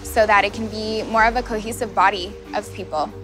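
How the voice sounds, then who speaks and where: A woman from the United States shares opinions as an interviewee. Her voice has a middle pitch, and music is clearly heard underneath. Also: fairly even intonation, brisk. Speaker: street interviewee. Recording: music behind the voice.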